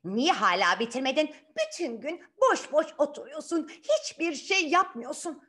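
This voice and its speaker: A woman's voice: high voice, big pitch swings, moderate pace, fairly bright tone, pitched above the performer's own voice. A woman voicing a bossy, scolding stepmother in a children's fairy tale, nagging and barking orders.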